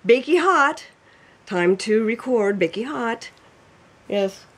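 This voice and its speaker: A man's voice: very high-pitched, lively intonation, takes it slowly, a bit bright. A man hosting his own home show, chatting casually and self-deprecatingly over a video call, laughing often. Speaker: cooking show host. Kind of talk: casual chat. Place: home room by a fireplace.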